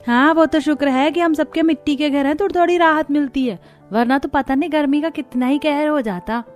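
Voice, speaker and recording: Cartoon village girl, grown, mild and accepting, high-pitched, music underneath, a woman acting. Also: fairly quick, close to an everyday voice. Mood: resigned. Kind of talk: Hindi cartoon dialogue.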